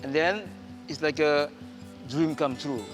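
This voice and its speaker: An Indonesian man in his forties speaks halting English, restarting his sentences often, his voice sitting a bit higher than most men's. Soft music plays clearly behind him in a TV studio. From Indonesia, Papua. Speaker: guest choreographer. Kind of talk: casual interview chat. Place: indoor TV studio set.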